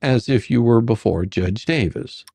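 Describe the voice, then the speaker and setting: A performer doing a comedic dramatic reading as a rambling, halting, faux-folksy lawyer questioning a witness in a deposition. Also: medium pitch, vocal fry, mellow, husky, dry recording. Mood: rambling, formal. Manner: halting, repetitive, mock-sarcastic, stumbling over questions.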